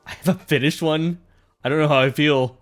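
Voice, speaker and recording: A man streaming a video game, chatting casually with viewers in an animated, laughing, self-deprecating way. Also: on the high side, a little fast, soft background music. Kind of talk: casual gameplay commentary.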